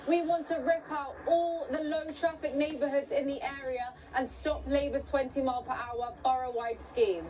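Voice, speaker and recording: A high-voiced woman delivering an earnest campaign pitch point by point. She is recorded from a distance, with loud outdoor noise behind her. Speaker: political candidate. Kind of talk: campaign video appeal.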